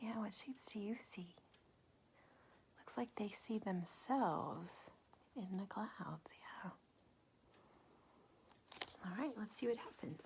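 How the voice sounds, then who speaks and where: A woman reading a picture book aloud to children like a teacher, gently pausing to ask questions and point things out. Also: mid-range voice, vocal fry, a little hiss or hum.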